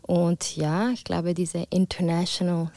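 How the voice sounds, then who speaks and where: A woman from Ticino speaks German with an Italian accent, her first language being Italian. She is a singer guest who answers in a breezy, upbeat way. Her voice has a gravelly edge, and the sound is dry. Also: fairly low pitch, flat delivery, a little slow, mellow, no echo. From Ticino, Switzerland. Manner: breezy, upbeat, short replies. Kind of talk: casual interview chat.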